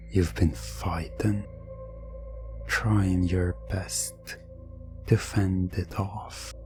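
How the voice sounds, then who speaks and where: A low-pitched voice narrates an eerie second-person hypnosis story in ASMR style. The delivery is very slow and hushed, often dropping to a whisper. Music plays behind the voice.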